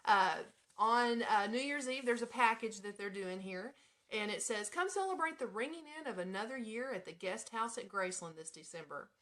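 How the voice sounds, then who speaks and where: A woman's voice: on the high side, lively intonation, normal speed, fairly bright tone. An American woman hosting a casual live radio show, speaking in an informal, chatty manner as she moves between reading items and talking to listeners. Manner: informal, chatty, breaks into giggles and asides.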